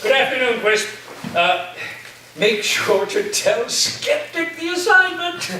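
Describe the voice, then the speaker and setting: A man in a staged play reading, playing an eccentric philosophy professor with a halting, whimsical, theatrical delivery. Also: extremely high pitch, big pitch swings, full character voice. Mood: instructive, scattered. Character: eccentric male philosophy professor full of wild conspiracy theories. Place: reverberant room, staged reading before listeners. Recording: distant echoey room recording, faint noise.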